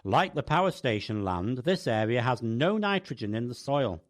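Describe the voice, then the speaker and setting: Medium-pitched male scripted radio narrator. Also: sing-song, normal speed. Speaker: radio program host. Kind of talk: scripted first-person narration.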